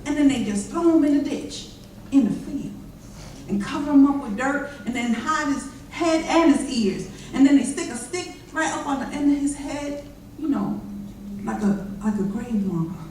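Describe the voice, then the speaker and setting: Lady as a haunted former slave, high voice, folksy telling, echoey, far from the mic. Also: moderate pace, a little mellow, close to an everyday voice. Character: formerly enslaved water toter, haunted eyewitness. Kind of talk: readers theater monologue. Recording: echoey chapel from far off, noisy.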